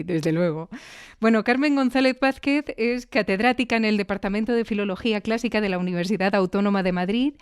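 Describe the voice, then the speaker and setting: A woman's voice: mid-range voice, smooth. A woman with an accent from Spain, presenting and interviewing on a podcast in a conversational, lightly scripted style.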